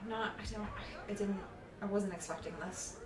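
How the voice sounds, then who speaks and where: Audio drama: brainy young female, played by a woman, rapid rambling delivery, roomy distant sound with crowd murmur. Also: mid-range voice, steady tone, lightly acted. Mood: uneasy. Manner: rambling, reasoning aloud. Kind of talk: naturalistic audio drama acting.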